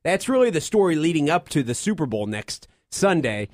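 A man from Kentucky co-hosting a weekend sports talk radio show, relaxed and joking, who also reads sponsor lines. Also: fairly high pitch, brisk, dry recording, clean studio sound. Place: radio studio.

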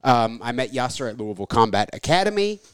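A middle-aged American man hosts his podcast in a loose, rambling, chatty way. His voice sits at an ordinary pitch and sounds dry and close to the mic, with no room sound around it. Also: sing-song, fast talker, clean tone, dry recording. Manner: chatty, rambling, reads own ad spots. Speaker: podcast host. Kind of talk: casual interview chat.

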